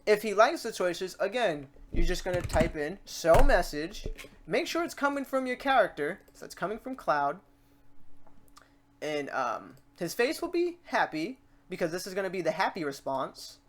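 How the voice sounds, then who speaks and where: A woman's voice: fairly low pitch, very animated, normal speed, a little hiss or hum. Casual, chatty woman giving a tutorial. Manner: chatty, informal, reassuring the viewer. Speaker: tutorial host. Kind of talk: casual screen-recorded software tutorial.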